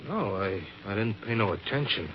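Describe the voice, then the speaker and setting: Guy doing nervy evasive american hitchhiker, old radio show, rough grammar, voice all over the place, muffled hissy sound. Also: on the low side, expressive, moderate pace, natural voice. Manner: slangy, ungrammatical, evasive. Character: shifty, evasive working-class male hitchhiker, jumpy and defensive. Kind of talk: 1950s radio drama acting. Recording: dull, slightly noisy old radio recording.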